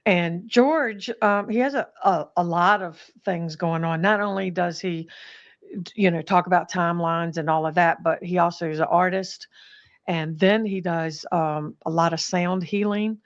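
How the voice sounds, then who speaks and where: A woman co-hosts a casual live chat in a low, gravelly voice. She calmly fills in details and laughs easily, and the sound is dull and lo-fi. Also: unhurried. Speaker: co-host.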